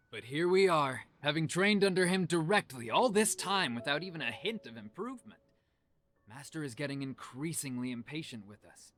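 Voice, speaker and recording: An actor playing an earnest young martial arts disciple in a video game, eagerly explaining things. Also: male voice, fairly high pitch, animated, normal speed, fairly bright tone. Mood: frustrated, earnest. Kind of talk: video game dialogue acting. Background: game music.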